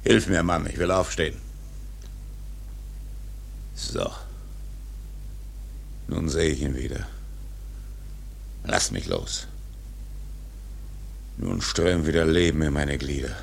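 An actor playing an obsessed, proud old whaling captain in a radio drama, rough-voiced, imperious and defiant. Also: male voice, extremely low pitch, big pitch swings, a little hiss or hum, full character voice. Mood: weary, grim. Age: older. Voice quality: gravelly, rough. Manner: imperious, slow, forceful.

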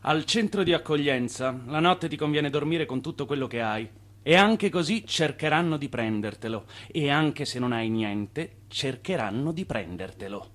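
A man's voice: a little high, lively intonation, normal speed, fairly bright tone. A man playing a brash, ambitious Italian immigrant hustler in a radio play, persuasive and insistent. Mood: wary.

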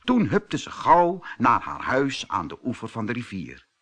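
Slow, very animated male storyteller, slightly husky. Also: mid-range voice. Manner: expressive, deliberate storytelling. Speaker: storyteller, audiobook reader. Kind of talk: scripted fairy tale narration for children.

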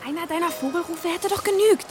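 Anxious young village woman, high-voiced. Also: quick pace, heavy background noise. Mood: startled, teasing. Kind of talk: German audio drama acting.

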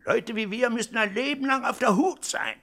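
An actor playing a fussy, wheedling old crook, an avuncular sidekick in a radio play, with a raspy, creaky voice. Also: male voice, very high voice, moderate pace, sharp tone, heavily acted. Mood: earnest pleading. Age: older. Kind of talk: 1960s German radio play acting.

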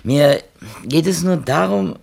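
An actor playing a well-meaning, talkative publisher's editor in a 1970s German radio play, speaking in wordy, tentative phrases. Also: male voice, a little high, slow, gravelly, darkish tone, full character voice. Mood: tentative, advising. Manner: wordy, tentative, self-qualifying.

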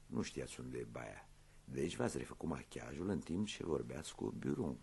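An actor playing a shrewd police inspector in a radio play, measured, courteous and insinuating, with a gravelly, husky voice. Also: male voice, a bass voice, big pitch swings, a little mellow, slight background noise, heavily acted. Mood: calm, triumphant. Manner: measured, insinuating, theatrically polite.

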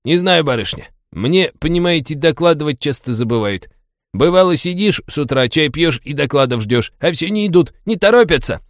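A man's voice: on the high side, expressive, brisk, dry recording, studio quality, pitched above the performer's own voice, close to an everyday voice. A man voicing a talkative, sardonic taxi driver who speaks in a colloquial, wry way in an audiobook. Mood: mocking, clowning. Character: chatty, sardonic male taxi driver, a streetwise local guide.

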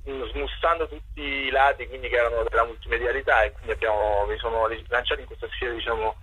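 A man with a husky voice giving conversational, hesitant and discursive answers as a radio interview guest. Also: medium pitch, fairly even intonation, quick pace, pushed and bright, poor audio. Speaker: reporter, playwright and director, interview guest.

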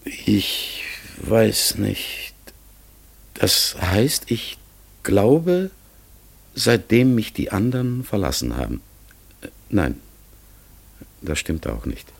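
An actor playing a talking raven in a radio play, polite, forgetful and melancholy, with a hoarse, breathy voice and a halting, searching way of speaking. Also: male voice, on the low side, very animated, heavily acted. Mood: unsure, brooding. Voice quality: hoarse, gravelly, breathy, often dropping to a whisper. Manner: slow, halting, earnest, searching for words. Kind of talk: classic German radio play acting.

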